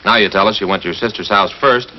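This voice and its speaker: Man acting a deep, quick, skeptical detective. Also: lightly acted. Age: middle-aged. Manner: matter-of-fact, pressing. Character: probing, skeptical male police detective. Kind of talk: 1950s television crime drama acting. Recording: dull 1950s TV soundtrack, faint noise.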